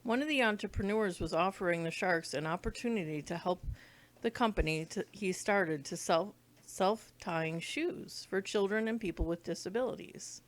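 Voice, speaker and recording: A woman's voice: mid-range voice, normal speed. A woman reading a children's book aloud, now and then stumbling over words and rereading them. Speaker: read-along reader.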